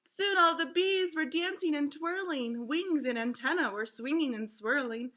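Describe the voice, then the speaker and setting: High, bright-voiced woman reading to children. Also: normal speed. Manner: expressive, instructive to children. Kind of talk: children's storybook read-aloud.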